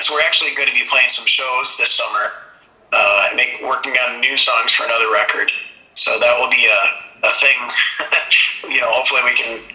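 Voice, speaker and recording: Bright-voiced reflective male songwriter. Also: mid-range voice. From Minnesota. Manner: relaxed, reflective, with fillers. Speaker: singer-songwriter guest. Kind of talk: casual interview. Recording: echoey room.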